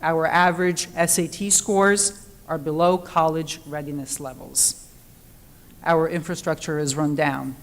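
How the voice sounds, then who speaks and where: A woman's voice: low-pitched, steady tone, unhurried. A middle-aged woman giving a prepared candidate speech at a school board forum in a cafeteria, delivered steadily. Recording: hall reverb.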